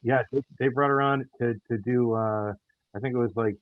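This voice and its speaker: A man telling anecdotes casually as a guest, halting often with stumbles and repeats. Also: mid-range voice, steady tone, dry recording. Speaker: interview guest, audio theater team member. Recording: dull, phone-like call audio.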